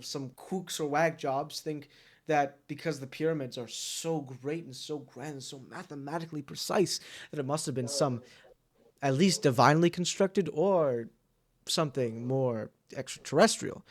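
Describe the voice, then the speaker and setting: A man's voice: mid-range voice, moderate pace. A man hosting a roleplay talk show over game voice chat, musing and rambling with frequent laughs.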